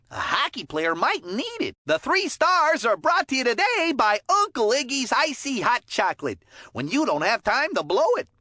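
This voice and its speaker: A goofy, folksy hockey commentator from a cartoon video game. The voice is very high and bright, swinging widely in pitch. The delivery is hammy, excitable announcer patter, full of homespun catchphrases. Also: female voice, no echo, studio quality, exaggerated voice. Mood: salesman-like. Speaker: sports commentator.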